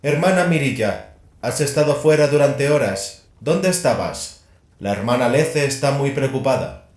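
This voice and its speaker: A man voicing an eccentric, detached machine-augmented adept in an audio drama, halting and clinical in his talk. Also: medium pitch, lively intonation, reverberant, music in the background, pitched above the performer's own voice, natural voice. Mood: absorbed, odd. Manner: halting, clinical, mechanically repeats procedural words.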